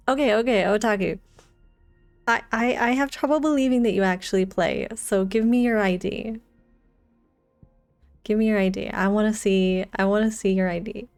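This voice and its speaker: A woman casually chatting on a game livestream, playful and excitable, often repeating her phrases. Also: medium pitch, measured pace, long pauses, no room sound, faint music behind. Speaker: game streamer. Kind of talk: casual livestream chat while playing a gacha game.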